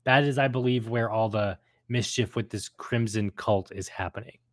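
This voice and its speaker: A man's voice: on the low side, normal speed, no echo, studio quality. A man hosting a casual discussion of an audio drama, speaking hesitantly as he searches for words. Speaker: host discussing a radio drama episode. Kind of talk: casual chat.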